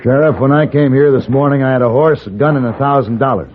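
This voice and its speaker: An actor playing a cool, wry gunfighter for hire in a 1950s radio Western, speaking in a measured, dry, understated way. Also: male voice, medium pitch, a little hiss or hum, close to an everyday voice. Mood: firm, demanding. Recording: muffled, thin 1950s radio broadcast.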